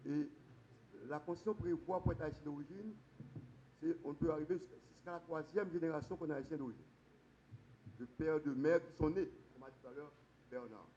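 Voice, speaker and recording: Emphatic Haitian lawyer, muffled, mellow. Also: male voice, on the high side, slight background noise. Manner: emphatic, stresses points with sharp rises. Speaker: lawyer on a conference panel. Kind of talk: explaining constitutional law.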